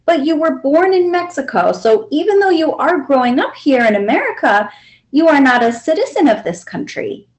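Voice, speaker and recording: A woman reading the part of a gentle immigrant mother in a storybook, reassuring, measured and a little guarded. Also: sits high, sing-song, pitched above the performer's own voice.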